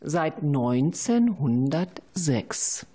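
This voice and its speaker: A woman speaks as a library director being interviewed. Her voice sits on the low side and moves with lively, varied intonation. She explains informally, point by point. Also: very slow, faint music behind.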